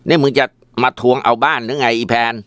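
A man's voice: mid-range voice, fast talker, fairly bright tone, no echo, studio quality, pitched above the performer's own voice, lightly acted. A man voicing an older mother who scolds in coarse, cutting insults. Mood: spiteful.

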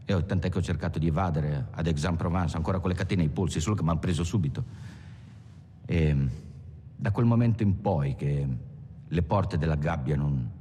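An actor playing a world-weary career criminal, speaking in a halting, understated film monologue. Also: male voice, low voice, warm tone, natural voice. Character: world-weary male career criminal recalling arrests and prison. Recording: long hall reverb, faint background noise.

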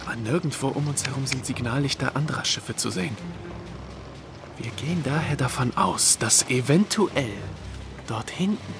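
A man's voice: mid-range voice, moderate pace, noisy background, close to an everyday voice. A man acting a dutiful naval officer in an audio drama, reporting formally and deferentially to his superior. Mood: formal, alert. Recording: music behind the voice. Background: music.

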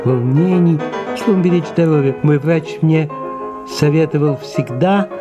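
Male actor as haughty middle-aged mother for radio play: indignant, emphatic, raised pitch, clear music underneath. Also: unhurried, muffled recording, close to an everyday voice. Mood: aggrieved, cutting. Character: haughty, scolding, self-pitying middle-aged French mother. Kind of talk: theatrical audio drama acting.

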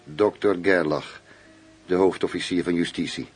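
An actor playing a wry, weary, middle-aged undercover police commissioner in a radio drama, speaking in a measured, dry way. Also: male voice, low-pitched, husky, soft background music. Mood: suspicious, dry. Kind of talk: Dutch radio drama acting. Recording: noisy radio play recording.

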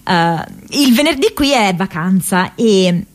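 Earnest young activist girl writing home, radio play, middle pitched, hesitant, repeats words while thinking. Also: animated. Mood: earnest recounting. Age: young adult. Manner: halting, searching for words, naturalistic repetitions. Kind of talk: dramatic monologue in radio theatre, reading a diary letter.

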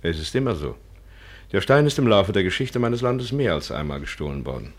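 A fatalistic Eastern prince for a radio play. His low, husky voice swings widely in pitch. He stays formal, courteous and solemn. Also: very animated, a little fast. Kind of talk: 1950s German radio play acting.

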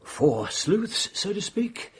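Radio drama police superintendent: British, middle-aged, steady authority, very husky, highly animated pitch. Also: male voice, slow, full character voice. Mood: brisk, thoughtful. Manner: plain-spoken, clipped, authoritative.

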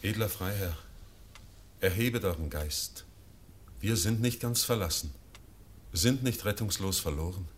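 An actor playing a solemn companion at a deathbed, speaking measured, consoling verse. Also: male voice, deep voice, slight background noise.

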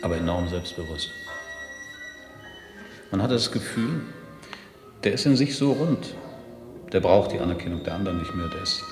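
An actor playing a cultured, self-assured, well-to-do gentleman in a radio drama, particular and condescending in manner. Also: low voice, animated, normal speed, pauses often. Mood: admiring, reflective. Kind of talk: German radio drama acting. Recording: echoey reverberant space, music behind.

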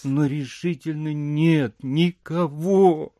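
An actor playing a sentimental, over-polite provincial landowner in an audio drama, speaking in dreamy, halting, saccharine phrases. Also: male voice, fairly high pitch, steady tone, dark tone, no room sound, clean studio sound. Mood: dreamy, wistful. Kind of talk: theatrical audio drama acting.

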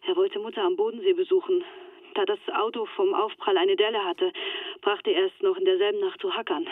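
A woman's voice: medium pitch, steady tone, brisk, no room sound. An actress in a radio play playing a shaken young woman in hiding, confiding over a telephone. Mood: grim, explanatory. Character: shaken Turkish-Swiss woman in hiding, recounting betrayal. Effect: telephone filter. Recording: thin, dry phone-line sound.

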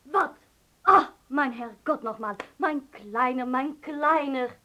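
An actress playing an excitable middle-class Berlin aunt in a radio drama, exclaiming and mixing in German words. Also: female voice, high-pitched, takes it slowly, full character voice. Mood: distressed, indignant.

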